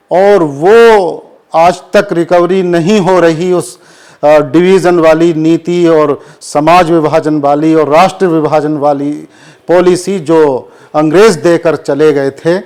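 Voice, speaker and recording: Slow, measured cleric, higher-pitched man. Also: steady tone, a little mellow. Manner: measured, formal phrasing heavy with Urdu vocabulary. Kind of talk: formal press interview answers on current affairs.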